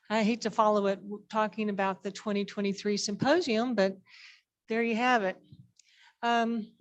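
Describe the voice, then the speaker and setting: A woman with a mid-range voice addresses a members meeting as one of its leaders. Her delivery is informal and conversational, with a halting flow as she presents. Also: mid-range voice.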